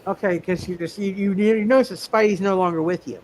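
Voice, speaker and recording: A man's voice: high-pitched, fairly even intonation, quick pace, darkish tone, slight background noise, poor audio. A man chatting casually as a player in a tabletop roleplay game.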